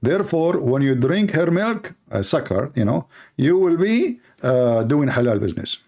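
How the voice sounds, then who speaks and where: Gravelly, husky man with an Arabic accent. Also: medium pitch, sing-song, darkish tone. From Middle East. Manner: sarcastic, mocking; halting, non-native phrasing. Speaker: host, religious apologist. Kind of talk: live call-in broadcast, rambling informal commentary.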